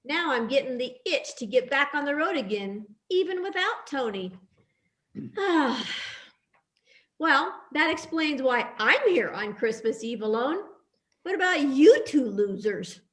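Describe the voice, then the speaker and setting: A woman plays a weary widowed bartender in an old-time radio play. Her voice is high and very animated in pitch, and she talks slowly with a wry manner. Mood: wistful. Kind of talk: amateur readers' theatre radio play.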